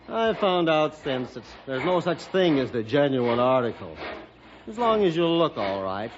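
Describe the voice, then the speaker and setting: A man's voice: fairly high pitch, sing-song, normal speed. An actor playing a glib, cynical, money-minded young man in an old radio drama, breezy and dismissive. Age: young adult. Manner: breezy, dismissive, self-satisfied. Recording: dull, noisy old radio recording.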